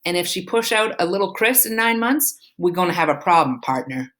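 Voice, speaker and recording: A woman's voice: fairly low pitch, animated, fairly quick. Woman putting on a brash teenage brother. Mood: bossy. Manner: loud, blustering, slangy. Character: brash teenage boy, protective older brother.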